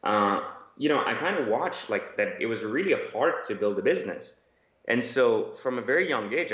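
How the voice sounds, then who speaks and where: Man with an echoey, dull line. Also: on the low side. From Iran. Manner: rambling, many fillers and restarts. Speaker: guest entrepreneur. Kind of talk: conversational interview answers. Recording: echoey, dull remote audio.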